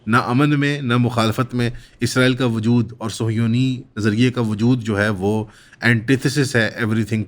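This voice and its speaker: A Pakistani man delivers political commentary in a fairly low voice. He speaks as an analyst, building long, winding sentences. English terms are mixed into his speech throughout.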